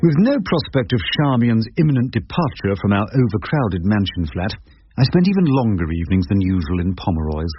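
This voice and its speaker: An old English barrister with a low, lively voice. He is wry and wordy, a droll storyteller in a radio play. The recording is dull and lo-fi. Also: fairly quick, over music. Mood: wry narration. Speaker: narrator and lead character, a barrister. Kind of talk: radio drama narration and dialogue.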